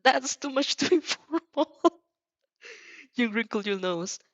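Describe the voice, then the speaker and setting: A woman's voice: fairly high pitch, lively intonation, slow, no room sound, dull audio. A woman reading fan fiction expressively, tripping over words and reacting casually as she goes. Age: adult. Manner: stumbles over words and restarts, giggly. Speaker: fan fiction reader and dubber.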